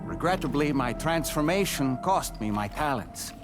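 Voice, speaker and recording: A man's voice: fairly high pitch, expressive, moderate pace, over music, natural voice. A man acting a living puppet in a video game, once a spirit medium, courteous, formal and easily indignant, speaking theatrically. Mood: regretful, gentle.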